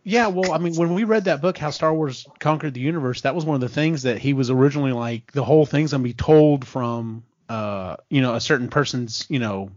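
A man in casual fan conversation as a podcast host, animated, acting out quotes and swearing freely. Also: medium pitch, brisk, few pauses, no echo, highs cut off. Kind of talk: casual chat recapping and discussing films.

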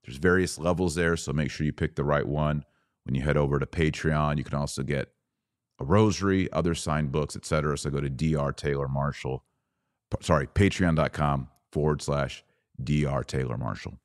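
Low-pitched guy doing a religious podcast, earnest and emphatic, really dry close sound. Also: steady tone, normal speed, no echo. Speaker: podcast host and author. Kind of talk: religious commentary podcast, explaining and reading scripture aloud.

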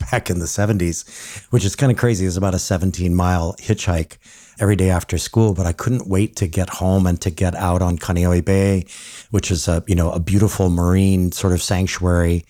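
Low, mellow male podcast host. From Hawaii. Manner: reflective, conversational questions. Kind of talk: podcast interview.

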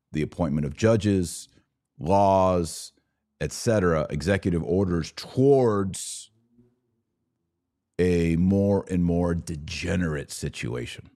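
A man's voice: low voice, mellow. An American man hosting live religious and political commentary, speaking in an emphatic, preachy yet conversational way. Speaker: podcast host and religious commentator.

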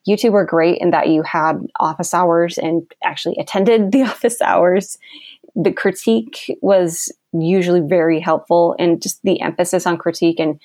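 A woman's voice: lowish voice, fairly even intonation, moderate pace, darkish tone, no room sound, clean studio sound. A young woman guest on a podcast interview, talking in a bubbly, enthusiastic way and laughing often. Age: young adult. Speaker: guest copywriter.

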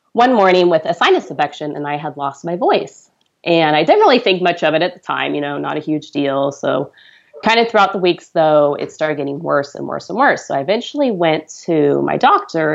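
Woman, 30s, low pitch, gravelly, earnest chatty storytelling, podcast guest. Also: expressive, moderate pace, dull audio. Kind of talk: conversational storytelling.

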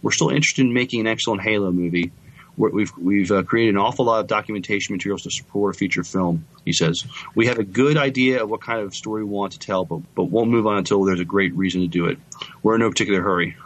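A man with a fairly low voice co-hosts a casual podcast. He talks through news and comments in a hesitant way, often halting as he finds his words. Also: slightly rough, highs cut off. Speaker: podcast co-host.

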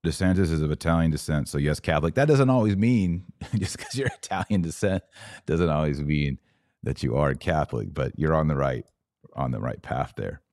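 A man's voice: rumbling low, lively intonation, normal speed, a little mellow, dry recording, clean studio sound. An American man hosting a podcast, speaking casually and off the cuff as he reads out and answers viewer comments. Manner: conversational, reads aloud then responds off the cuff. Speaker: podcast host.

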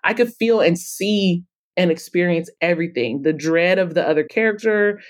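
Casting: woman with a fairly low voice, expressive interviewee in an easy conversation. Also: moderate pace. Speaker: podcast guest, author and publisher.

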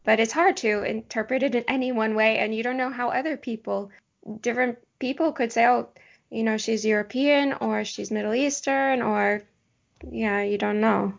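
A woman hosts a casual interview in a fairly high voice with plenty of creak. She asks considerate, careful questions. The sound is a little dull and lo-fi. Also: fairly even intonation, normal speed. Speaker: podcast interviewer.

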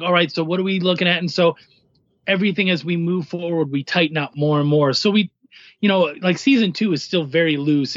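Guy guest chatting on a podcast, kinda high voice, slightly dull lofi audio. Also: fairly bright tone, dry recording. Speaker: podcast guest, comics writer and editor. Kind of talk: casual interview chat.

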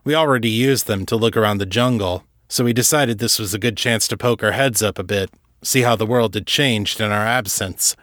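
Gruff disembodied ex-commander for an audiobook, played by a male narrator: folksy, cagey, wry, very dry close sound. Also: lowish voice, a little fast, fairly bright tone, no room sound, close to an everyday voice. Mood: explaining.

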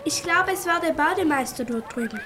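A woman's voice: high-pitched, animated, brisk, fairly bright tone, slight background noise. A female performer playing a practical, inquisitive young girl sleuth in a children's radio play. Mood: suspicious.

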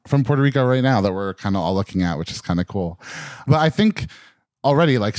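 A man from Westchester County, New York, hosting a casual group podcast conversation and asking questions in a relaxed, chatty way. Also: on the low side, no echo. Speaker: podcast host. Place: indoor room.

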